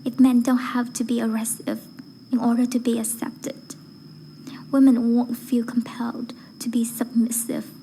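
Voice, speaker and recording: Higher-pitched woman reciting a speech evenly. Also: little pitch movement, unhurried, dark tone, slight background noise, low-quality recording. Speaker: voice acting learner reading a speech. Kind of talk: rehearsed public speech recitation.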